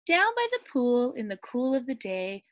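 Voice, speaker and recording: A woman's voice: on the high side, normal speed, no room sound, studio quality. Sing-song woman teacher reading aloud. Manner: sing-song, expressive storybook reading with excited squeals. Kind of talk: read-aloud storytime lesson for children. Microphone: webcam on a computer.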